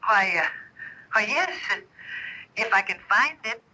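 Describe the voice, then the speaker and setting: A woman's voice: mid-range voice, lively intonation, a little slow, pushed and bright, full character voice. An actress playing a motherly but evasive landlady in a radio mystery drama, soothing and fussy in manner. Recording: tinny old AM radio tape.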